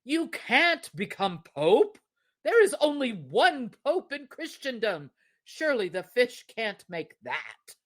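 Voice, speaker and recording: A woman's voice: fairly high pitch, sing-song, takes it slowly, pitched above the performer's own voice, full character voice. A woman voicing a meek, anxious, henpecked fisherman in a storybook, pleading and hesitant. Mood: anxious protest.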